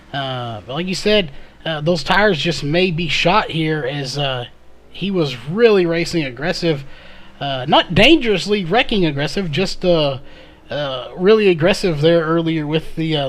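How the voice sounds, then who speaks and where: A man doing live race commentary in a conversational, analytical way, his voice a little hoarse. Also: high-pitched. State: slightly hoarse, losing his voice. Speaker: sim or short-track race play-by-play commentator.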